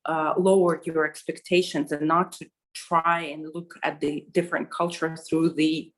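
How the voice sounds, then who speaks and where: Middle-aged woman, lowish voice, lots of ums and you knows. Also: little pitch movement, normal speed. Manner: hesitant, many fillers and restarts. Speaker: interviewee. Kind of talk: conversational interview answer.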